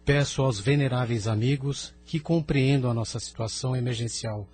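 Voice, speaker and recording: Actor as courteous spirit envoy, man, Brazilian accent, husky, formal, grave, soft music behind. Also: mid-range voice, close to an everyday voice. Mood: grave, urgent. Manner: formal, measured. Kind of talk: audio drama acting.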